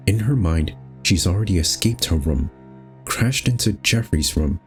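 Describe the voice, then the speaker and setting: A very low-pitched male voice reads a horror game story aloud with measured, dramatic phrasing. He hosts the playthrough as narrator, with soft music lying underneath. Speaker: host reading a visual novel aloud. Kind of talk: expressive scripted story narration.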